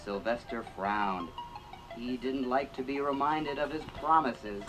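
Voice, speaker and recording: A man narrating a children's read-along record slowly and clearly, with funky 1980s synth music behind. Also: mid-range voice, poor audio. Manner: slow and clear for young children. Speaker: storybook narrator.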